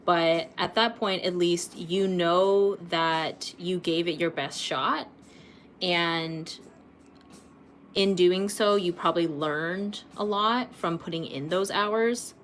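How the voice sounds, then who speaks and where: A woman in her late twenties from Canada, chatting casually with viewers while painting on a livestream, rambling a little and filling pauses with ums. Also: fairly low pitch, a little hiss or hum. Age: late 20s. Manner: chatty, rambling, laughs often, many ums. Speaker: art livestream host.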